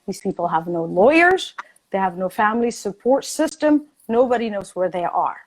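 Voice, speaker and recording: A woman's voice: medium pitch, big pitch swings, moderate pace, poor audio. A woman arguing passionately in a heated debate, insistent and repeating her points for emphasis. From born in Addis Ababa, Ethiopia. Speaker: debate guest, refugee advocate. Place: lives in New York City.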